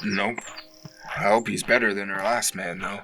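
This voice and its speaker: A man's voice: on the low side, steady tone, moderate pace, close to an everyday voice. A man playing an affectionate, easily spooked boyfriend with a teasing, casual way of talking in an audio drama. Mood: playful, affectionate.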